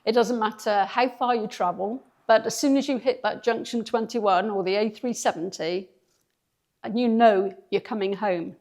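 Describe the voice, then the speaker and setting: A woman's voice: medium pitch, moderate pace, a little mellow. A woman speaking fondly about home. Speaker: local resident. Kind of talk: short personal remark.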